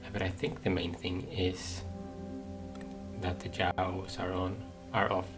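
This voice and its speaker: A man's voice: sits low, level tone, unhurried. A man doing casual let's play commentary, musing tentatively and thinking aloud as a gaming streamer. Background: game music.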